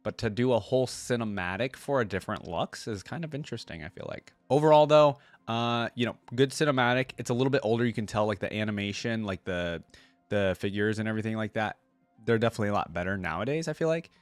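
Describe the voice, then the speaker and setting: A man's voice: on the low side, fast, no room sound, soft background music. A man hosting a reaction video, giving informal, conversational commentary with rambling asides.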